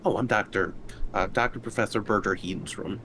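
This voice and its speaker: A man's voice: fairly low pitch, a little fast, a crowd in the background. A man chatting casually as a player in a tabletop roleplaying game. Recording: clear background noise.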